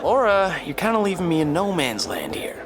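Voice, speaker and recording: A man's voice: high voice, huge pitch swings, brisk, very noisy, heavily acted. A man playing a pushy flirty guy at a party. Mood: pleading. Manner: wheedling, persistent.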